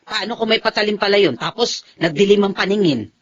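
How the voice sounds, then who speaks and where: Babaeng gumaganap na paos at nag-aalalang asawa. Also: female voice, medium pitch, a little fast, natural voice. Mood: worried scolding. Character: worried, scolding wife and protective mother. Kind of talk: Filipino radio drama acting. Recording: dull, muffled audio.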